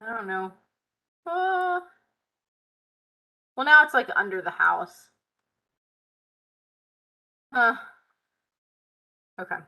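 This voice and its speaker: Casting note: woman, hoarse and worn, bright tone, casual live tutorial host, chatty and muttering. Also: on the high side, very animated, drawn-out words, pauses often. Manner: chatty, Southern "y'all", muttering while working. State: tired, hoarse voice. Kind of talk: live screen-share tutorial, thinking aloud.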